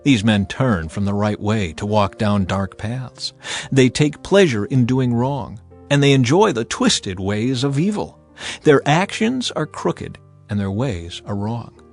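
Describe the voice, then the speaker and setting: A man's voice: mid-range voice, big pitch swings, unhurried, a little mellow. Man solemnly reading scripture over music. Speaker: Bible narrator. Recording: music behind the voice.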